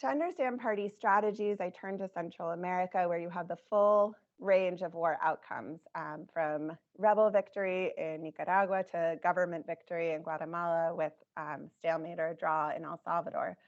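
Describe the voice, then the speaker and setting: A woman presenting her research in a measured academic talk, her voice scratchy, with frequent ums. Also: fairly low pitch, fairly even intonation. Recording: dull lo-fi recording.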